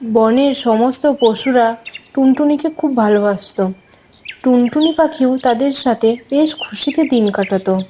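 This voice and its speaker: A woman narrator reads a children's animal story. Her voice is fairly high, with background noise behind it. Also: measured pace, mellow.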